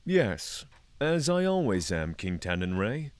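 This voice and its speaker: A man playing a solemn, courteous dragon lord in a fantasy audio drama, speaking in formal, measured phrases. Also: lowish voice, sing-song, clean tone, no room sound. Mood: reflective. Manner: formal, measured, thoughtful diction.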